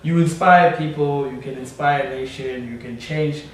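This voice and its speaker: A man from Zimbabwe interviewing a musician in a casual chat, affirming the guest often and trailing off into soft fillers. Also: on the high side, moderate pace. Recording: echoey room, distant mic, faint noise.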